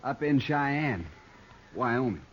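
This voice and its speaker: An actor playing a dim-witted, touchy and violent outlaw sidekick in an old-time radio western, whiny and excitable with an American accent. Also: male voice, mid-range voice. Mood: eager. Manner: whiny, excitable, slow on the uptake. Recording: dull, very noisy old radio recording.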